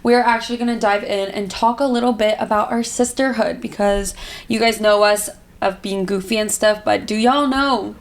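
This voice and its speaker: A woman's voice: mid-range voice, fairly even intonation, moderate pace. A young woman co-hosting a podcast with her sisters, joking and teasing in a playful way. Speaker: podcast co-host. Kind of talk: casual chat.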